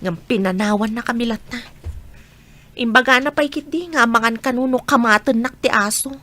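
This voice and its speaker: A woman's voice: on the high side, normal speed, pushed and bright. A woman playing a hard-working, generous mother in an Ilocano radio drama, with a northern Philippine accent. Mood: wistful.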